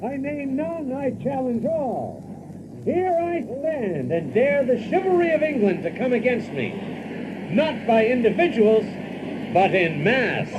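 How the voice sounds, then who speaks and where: An actor playing a boastful, excitable Yankee adventurer who tells his own tale theatrically in an audiobook drama. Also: extremely high pitch, unhurried, noisy background, exaggerated voice. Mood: defiant challenge. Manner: theatrical, animated, with chuckles. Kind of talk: dramatized audiobook first-person narration. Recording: dull lo-fi audio with loud music.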